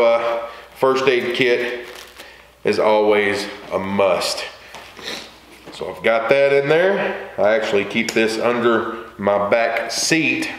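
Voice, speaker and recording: A middle-aged man giving a casual, plain-spoken, folksy walkthrough to camera as a video host. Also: medium pitch. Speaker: lawn care video host. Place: small indoor workshop or garage with wooden shelving. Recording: echoey room, faint background hiss.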